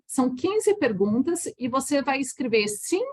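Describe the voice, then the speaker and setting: Husky Brazilian woman, chatty live talk. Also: high-pitched, sing-song, measured pace. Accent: Brazilian Portuguese. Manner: warm, chatty, frequent restarts and "tá?" check-ins. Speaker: energy healing instructor. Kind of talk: live online talk to an audience.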